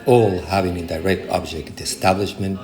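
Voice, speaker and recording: A man's voice: deep voice, fairly even intonation, a little fast. A man giving a formal reading of a historical document as a community reader.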